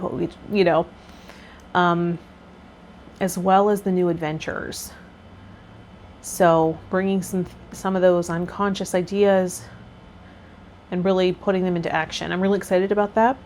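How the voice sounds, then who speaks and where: A woman hosting a tarot vlog, reading card meanings and then talking loosely and gently about the week ahead. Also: fairly low pitch, slight background noise. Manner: loose and rambling with fillers when unscripted. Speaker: tarot vlogger.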